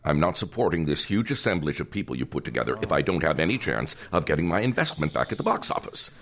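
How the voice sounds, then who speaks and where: Deep, gravelly, stern older financier. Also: male voice, big pitch swings, fast talker, a bit bright, heavily acted. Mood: threatening. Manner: condescending, formal. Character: stern, condescending male theater financier issuing cold ultimatums. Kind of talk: audio drama acting.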